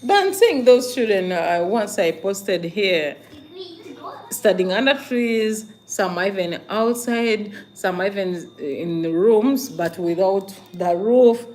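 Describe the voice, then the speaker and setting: A woman's voice: medium pitch, expressive, slow, a little mellow. A woman from Uganda with a Ugandan accent hosts a casual livestream, talking to viewers emphatically, with repeated phrases, rhetorical questions and questioning hums. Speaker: livestream host.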